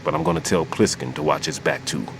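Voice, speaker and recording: An actor voicing a grave, burdened veteran bomb disposal man in a video game, measured and ominous. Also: extremely low pitch. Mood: grave, suspicious.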